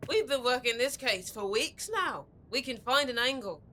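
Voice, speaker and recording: A clever street girl in an audio drama. She sounds earnest and direct, high-pitched and forceful, with lively melody. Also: moderate pace. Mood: earnest, probing.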